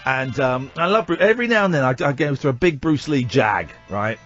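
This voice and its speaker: A British man hosting live radio, chatting casually in an excitable, blokey, bantering way. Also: medium pitch, brisk. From United Kingdom. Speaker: radio host. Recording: muffled lo-fi radio recording with music.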